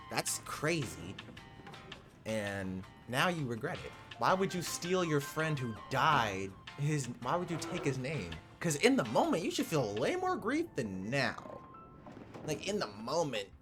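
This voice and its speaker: A young man commentates casually over a game. His delivery is slangy and mock-outraged, jumping widely in pitch. Game music plays clearly behind him. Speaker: gaming video creator.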